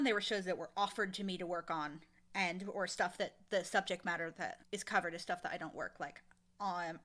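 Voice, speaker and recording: A woman being interviewed, talking in a casual, informal and animated way about her work. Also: mid-range voice. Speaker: interviewee, audio drama showrunner and voice actor.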